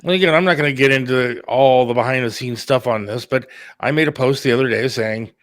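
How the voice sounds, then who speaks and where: A man's voice: medium pitch, fairly quick, fairly bright tone, no echo. A middle-aged man talking candidly and casually on a talk show, plain-spoken and a little defensive. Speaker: radio producer and talk show host.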